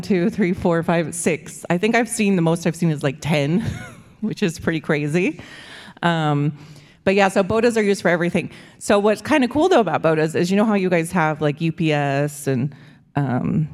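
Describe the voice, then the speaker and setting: A young woman with a low voice talks casually to a church audience, wandering from point to point with plenty of fillers. Her words carry a long reverb from the hall she speaks in. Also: a little mellow. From United States. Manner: chatty, rambling, with frequent fillers and likes. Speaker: missionary speaker. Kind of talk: casual church presentation with slides.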